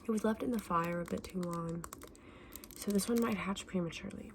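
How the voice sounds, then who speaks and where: A woman doing a soft-spoken, often whispered ASMR roleplay as a gentle, chatty shopkeeper, explaining her wares informally and without hurry. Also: on the low side, fairly quick, noisy background. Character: gentle, chatty woman selling dragon eggs.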